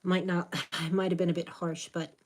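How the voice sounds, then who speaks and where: A woman's voice: fairly low pitch, little pitch movement, moderate pace. A woman with a Spanish accent, Spanish her first language, giving blunt, direct, motherly advice to viewers on a live stream.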